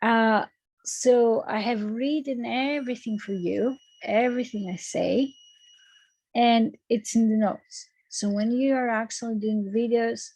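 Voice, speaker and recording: Slow-talking Greek woman lecturer. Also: on the high side, poor audio. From Greece. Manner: relaxed, repeats words and fills with um and okay. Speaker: university lecturer. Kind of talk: online class announcements. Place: recorded video call. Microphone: computer mic on a video call.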